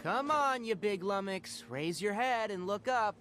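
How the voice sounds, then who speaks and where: An actor playing a clever young male forest ranger in a video game, measured, analytical and gently wry. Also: high-pitched, expressive. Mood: brisk. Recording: game music behind the voice.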